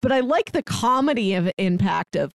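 A woman co-hosting a casual TV review podcast, giving measured, thoughtful opinions. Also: a little high, animated, vocal fry, no room sound, studio quality. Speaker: podcast co-host.

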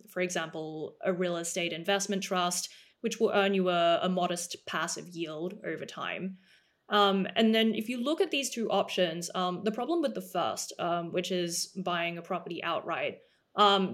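Woman, 30s, from Australia; lower register, measured and polished interview guest. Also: fairly bright tone. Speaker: guest, startup co-founder and CEO. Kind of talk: podcast interview.

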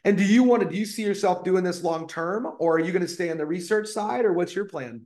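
A man's voice: high-pitched, fast talker, a little mellow. A man hosting a podcast interview, enthusiastic and full of praise for his guest. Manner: enthusiastic and effusive, repeats praise. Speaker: podcast host. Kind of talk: casual interview chat.

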